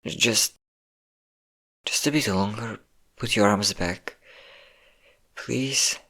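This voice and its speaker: A man playing a depressed young man who tearfully confides in his best friend, halting and self-deprecating, in an intimate audio roleplay. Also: lowish voice, a bit bright, heavily acted. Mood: tearful, hesitant. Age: young adult.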